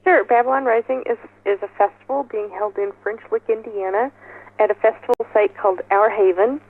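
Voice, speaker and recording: A woman's voice: mid-range voice, steady tone, fairly quick, breathy edge. Woman guest on a tinny phone line. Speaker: interview guest. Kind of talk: conversational interview. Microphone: telephone call. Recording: tinny narrow telephone line.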